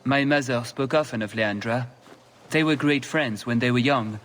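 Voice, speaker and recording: An actor playing a courtly noble lord in a fantasy video game, pompous, formal and smugly gracious. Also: male voice, medium pitch, moderate pace, a bit bright, lightly acted.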